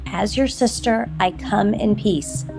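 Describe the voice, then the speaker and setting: Firm, reproachful big sister. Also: female voice, medium pitch, slow pace, vocal fry, music in the background. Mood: stern. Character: stern, critical older sister and company executive. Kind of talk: audio soap opera acting.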